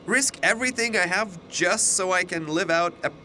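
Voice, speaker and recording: A man's voice: high voice, normal speed, pushed and bright, slight background noise. A young man acting in a radio drama as a defiant, skeptical student, agitated and incredulous. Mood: incredulous, anxious. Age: young adult.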